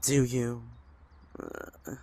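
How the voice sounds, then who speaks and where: A man acting a gentle, supportive young friend in an audio drama, speaking hesitantly. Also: mid-range voice, animated, very slow, exaggerated voice. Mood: gentle, curious. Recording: clear background ambient noise.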